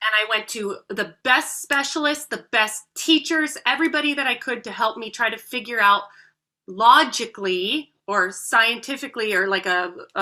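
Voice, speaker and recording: A woman's voice: on the high side, pushed and bright. A woman in her thirties, a voice coach, telling her own story as a guest in an earnest, heartfelt way. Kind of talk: podcast interview.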